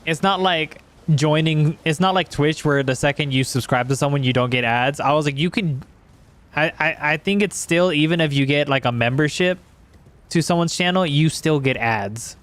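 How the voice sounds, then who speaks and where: A man with a slightly hoarse voice, chatting casually and haltingly while livestreaming a game. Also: a little high, a bit bright. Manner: rambling, halting, self-correcting. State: hoarse from singing.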